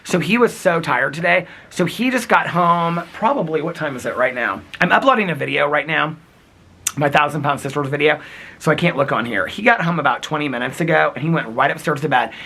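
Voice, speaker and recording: Man, husky crackly voice, bright and lively, rambling chatty vlog, giggles often, outdoors in the wind. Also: on the high side, fairly quick. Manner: rambling, giggly, animated storytelling. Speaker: vlogger. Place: outdoors in windy snowy weather.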